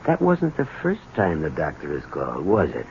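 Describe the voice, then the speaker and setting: An actor playing a troubled, desperate husband in a 1970s radio drama, halting and emotional. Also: male voice, a bass voice, huge pitch swings, a little mellow, dull audio, exaggerated voice. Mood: weary, resigned. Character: troubled, desperate husband and small business owner, bitter toward his father. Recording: thin, noisy old radio broadcast.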